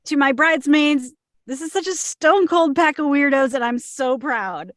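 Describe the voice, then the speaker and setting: Woman acting a higher-voiced brash comedy oddball. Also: moderate pace, dry recording, studio quality, close to an everyday voice. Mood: cheerful. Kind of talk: screenplay table read acting.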